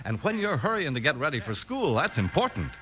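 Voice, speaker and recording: A man's voice: on the high side, sing-song, a little fast, bright, forceful tone, slight background noise, low-quality recording. An American man announcing in an emphatic, polished 1950s radio style, reading scripted sponsor copy and narration. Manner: emphatic, polished sales and story delivery. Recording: vintage radio broadcast with music. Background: music.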